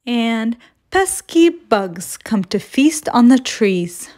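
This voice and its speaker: Slow-paced woman reading a children's story. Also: a little high, big pitch swings, darkish tone. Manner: measured, deliberate storytelling. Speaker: children's storytime reader and host. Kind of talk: scripted read-aloud of a children's picture book.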